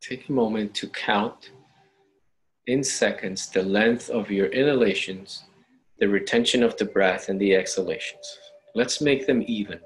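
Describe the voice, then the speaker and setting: A man guides a meditation in a fairly low voice. He gives gentle instructions one step at a time and leaves unhurried pauses between them. There is some ambient noise in the recording. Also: rough recording.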